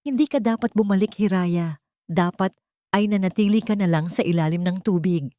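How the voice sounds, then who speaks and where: A woman's voice: medium pitch, normal speed, dark tone, no echo, clean studio sound, natural voice. A woman voicing a desperate, murderous male colonel who growls and cries out threats in a dramatic audio story. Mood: desperate rage.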